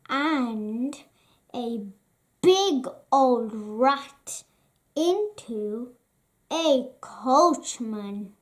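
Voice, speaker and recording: A woman reading a children's picture book aloud at bedtime, slow and expressive. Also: high-pitched. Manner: slow, expressive, sing-song read-aloud delivery. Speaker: storybook reader.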